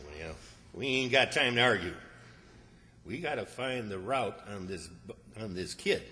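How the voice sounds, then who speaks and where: A man's voice: lowish voice, expressive, unhurried, sharp tone, a little hiss or hum. A man playing an exasperated, scheming country fellow in old-time radio comedy style, with a folksy, fussy manner. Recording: reverberant hall stage recording.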